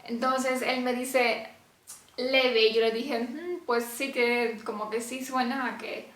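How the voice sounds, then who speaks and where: A woman's voice: a little high, steady tone, slow, a bit bright. A young woman from Romania, chatting casually to camera in Spanish and laughing often. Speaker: vlogger. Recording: echoey room.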